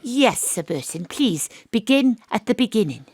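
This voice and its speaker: An actress playing a brisk, wry private investigator, narrating her case in comic noir style for an audio drama. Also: fairly high pitch, husky, no room sound, studio quality. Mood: brisk questioning. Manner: deadpan noir-style narration, punchy questioning.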